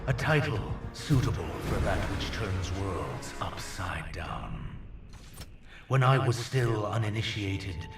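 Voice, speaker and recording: Menacing alien game villain, deep and grandiose, big swoops in pitch, echoey and a bit far off. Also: male voice, full character voice. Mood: grandiose, brooding. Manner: grandiose, theatrical, deliberate, dropping into hushed emphasis. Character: proud, menacing alien conqueror devoted to his master. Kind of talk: dramatic video game villain monologue.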